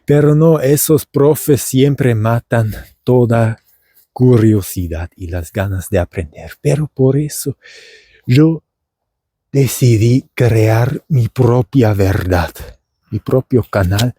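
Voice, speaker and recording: Slow, dark-voiced man with Argentine accent. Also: medium pitch, slightly rough, no room sound, studio quality. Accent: Argentine Spanish learned by a German speaker. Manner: meandering, theatrical, with many fillers and restarts. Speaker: language teacher and podcaster. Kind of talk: rambling comedic monologue. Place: outdoors at dusk.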